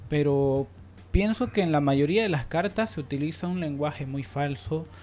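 A young man talks in a fairly high voice. He sounds like an informal radio host, putting forward his opinions and tripping over and repeating words as he goes. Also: normal speed, a little mellow, a little hiss or hum. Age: young adult. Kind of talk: informal on-air discussion.

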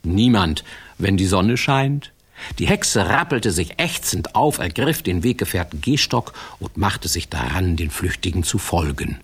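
An actor playing a wry, playful storyteller who narrates a radio fairy tale with little asides. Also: male voice, low-pitched, very animated, unhurried, breathy edge, exaggerated voice. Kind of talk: scripted radio drama narration.

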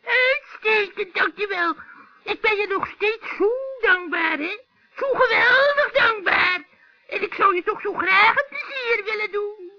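A sly old-tale witch, played by a man, shrieking high and drawing her words out. She wheedles with false sweetness and innocence in a dull, dated children's radio play recording. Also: measured pace, vocal fry, pushed and bright, heavily acted. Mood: sugary, wheedling. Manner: sugary, feigned innocence, cackling.

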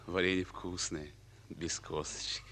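An actor playing a stoic, exhausted soldier in a Russian radio war drama, answering in terse military phrases. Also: male voice, deep voice, flat delivery, unhurried, sharp tone, slight background noise. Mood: grim, tired. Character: stoic, exhausted army messenger, loyal and terse.

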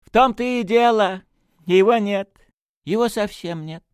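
A tiny flustered priest for an audiobook, really high voice, swoops all over, childlike and gushing. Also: male voice, big pitch swings, moderate pace, darkish tone, no echo, studio quality. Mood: delighted, insistent. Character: excitable, apologetic, stubby little male priest with childlike wonder. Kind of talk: audiobook character acting.

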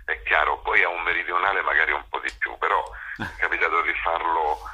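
Very deep, gravelly male interview guest. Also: steady tone, brisk, sharp tone, rough recording. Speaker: interview guest, actor and director. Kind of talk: conversational interview.

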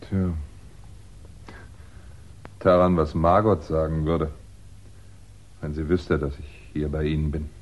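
An actor playing an uneasy, wry crime writer under suspicion in a 1960s radio drama, speaking in a reflective, hesitant way. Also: male voice, very deep voice, steady tone, slow, pauses often. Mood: wry, resigned. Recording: dull, band-limited 1960s radio recording.

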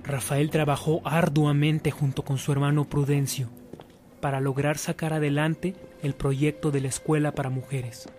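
A man's voice: a little high, level tone, moderate pace, a little mellow. A man giving scripted narration for a radio drama. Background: music.

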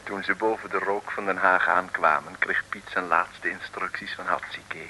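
An actor playing a wry, jovial Dutch storyteller, narrating an old comic radio serial. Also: male voice, deep voice, fairly even intonation, normal speed, sharp tone, full character voice, poor audio. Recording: old radio recording with faint noise.

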